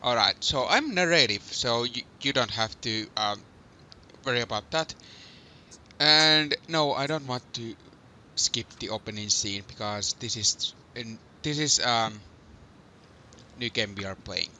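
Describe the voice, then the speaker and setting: Bright-voiced man hosting a game playthrough. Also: mid-range voice, unhurried, a little hiss or hum. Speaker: host and narrator of a fandub let's play. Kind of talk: casual gaming commentary with read narration.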